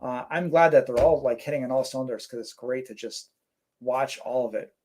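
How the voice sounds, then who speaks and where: A man's voice: mid-range voice, fairly quick. A man co-hosting a casual live stream, reading out chat comments and rambling with lots of fillers. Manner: relaxed, rambling, many fillers.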